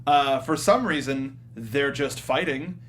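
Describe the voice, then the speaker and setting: A man's voice: medium pitch, takes it slowly, a bit bright. A man co-hosting a casual, comedic film discussion, quoting lines with mock exasperation. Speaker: co-host of a film review show. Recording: faint background noise.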